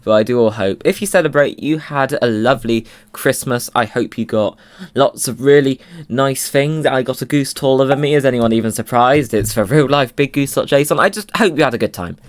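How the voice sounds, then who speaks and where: A man's voice: mid-range voice, brisk. A man with a slight cold, giving playful, bouncy commentary on his Minecraft video. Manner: playful, bouncy, silly sing-song asides. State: slightly under the weather with a cold. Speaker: Minecraft YouTuber. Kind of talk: casual gameplay commentary.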